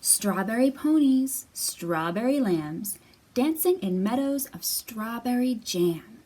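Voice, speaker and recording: A woman's voice: fairly high pitch, lively intonation, slow, warm tone. A woman hosting storytime for young children, teaching and reading aloud in simple, repetitive, encouraging phrases, recorded in a library room. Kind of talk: instructional talk and picture-book read-aloud for young children. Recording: distant mic, noisy room.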